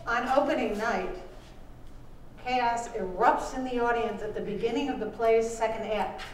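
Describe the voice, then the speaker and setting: A woman author reading her book aloud at a live event, measured and deliberate with pauses. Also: on the high side, steady tone. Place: live book launch performance in a room. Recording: echoey room, distant mic, faint noise.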